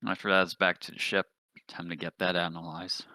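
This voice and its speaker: A man's voice: low-pitched, flat delivery, fairly quick, sharp tone, dry recording. A man playing a tabletop roleplaying game, talking casually as he says what his character does.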